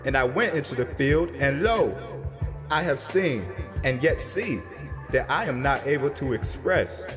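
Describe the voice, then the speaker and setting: A man's voice: medium pitch, lively intonation, a little slow, lightly acted. A man as a solemn, grieving prophet over music. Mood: pleading. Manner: solemn, archaic phrasing, pleading. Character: grieving, fearful prophet recounting his troubled vision. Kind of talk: dramatized scripture reading over music.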